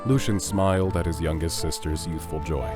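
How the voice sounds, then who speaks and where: A man's voice: very deep voice, moderate pace. A man reading scripted narration for an audio drama as its narrator. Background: music.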